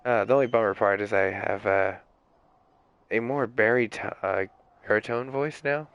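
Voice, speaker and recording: A young man streaming games while sick, with a raspy, hoarse voice, rambling in casual chat full of fillers and swearing. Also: on the low side, moderate pace, fairly bright tone, lo-fi sound. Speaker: game streamer.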